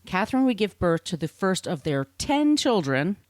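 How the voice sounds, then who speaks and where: A woman's voice: on the low side, big pitch swings, normal speed, no echo. A woman hosting a podcast, reading scripted narration with casual, irreverent asides. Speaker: podcast host.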